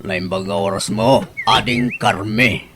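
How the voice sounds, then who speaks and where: A man's voice: on the low side, fairly even intonation, slow, noisy background. Man acting an easygoing older village uncle. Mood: jovial. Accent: Ilocano. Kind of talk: Ilocano comedy radio drama acting.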